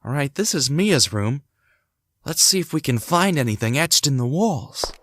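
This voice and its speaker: A man playing a devoted young husband and father in an audio drama, gentle, curious and theatrical. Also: fairly high pitch, lively intonation, moderate pace, slight background noise. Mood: curious, excited. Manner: reassuring, theatrical, thinks aloud to himself. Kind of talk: hobby audio drama acting.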